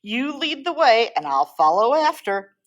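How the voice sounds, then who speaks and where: A woman's voice: on the high side, very animated, unhurried, a bit bright, pitched above the performer's own voice. A woman voicing a big, scary but gullible monster in a children's storybook, full of exclamations and astonishment. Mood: amused.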